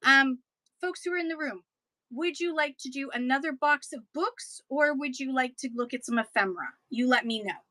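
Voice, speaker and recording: A woman selling books in a chatty live-stream auction, her voice getting hoarse, with playful sing-song asides. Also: high voice, moderate pace, bright, forceful tone, dry recording.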